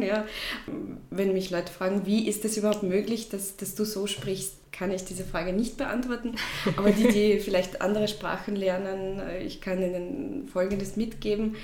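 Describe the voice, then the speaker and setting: A woman's voice: medium pitch, a little mellow. Reflective woman from Russia, echoey room. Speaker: podcast guest, shop founder. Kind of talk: personal storytelling in an interview. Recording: roomy echo, faint background noise.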